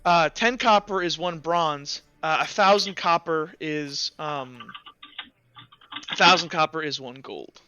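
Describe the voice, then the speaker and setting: A man running a tabletop game as game master, talking casually and haltingly as he thinks out loud. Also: fairly high pitch. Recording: slightly dull, music behind. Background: background music.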